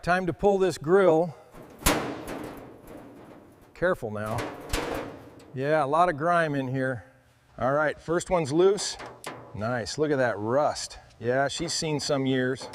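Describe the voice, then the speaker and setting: A man's voice: a little high, noisy background. A man giving hands-on workshop commentary as a vehicle restorer, in short instructional remarks to helpers.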